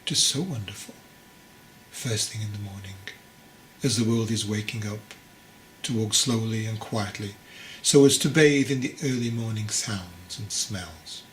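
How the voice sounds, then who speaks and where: A man voicing a venerable old monastery master in a storybook, calm, measured and gently probing. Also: on the low side, echoey room, a little hiss or hum, natural voice, rough recording. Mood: serene. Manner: measured, questioning, teasingly patient. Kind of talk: storybook character dialogue.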